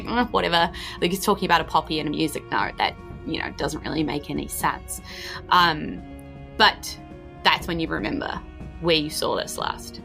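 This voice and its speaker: A woman, improvising narration as game master of a tabletop game podcast in a chatty, excitable, emphatic way. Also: lowish voice, gravelly. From New Zealand.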